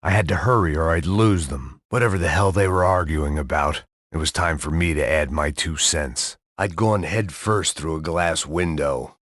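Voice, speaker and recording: A man voicing a world-weary, hard-drinking middle-aged ex-cop turned bodyguard in a video game, narrating in a dry, bitter deadpan. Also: extremely low pitch, normal speed, hardly any pauses, dry recording. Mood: weary, cynical. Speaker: game character. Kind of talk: video game voice acting, noir voice-over.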